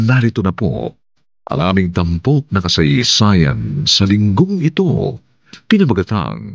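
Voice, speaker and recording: A Filipino man with a husky voice, giving dramatic scripted narration as a radio drama host. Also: low voice, dry recording. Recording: slightly dull, dry studio sound.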